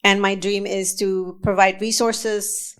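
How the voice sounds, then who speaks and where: A woman from Indonesia giving a conference talk, speaking slowly and deliberately with frequent fillers and restarts. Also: mid-range voice, little pitch movement, fairly bright tone, clear, resonant tone. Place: conference session room.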